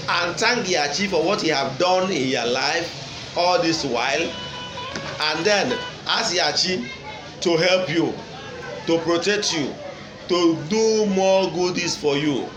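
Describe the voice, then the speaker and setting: A man from Nigeria with an Igbo accent, a native Igbo speaker, giving an unscripted religious lecture in a deliberate, emphatic, instructive way. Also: on the high side, expressive, music in the background. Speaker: traditional religion teacher and online video host. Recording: echoey room with clear background noise.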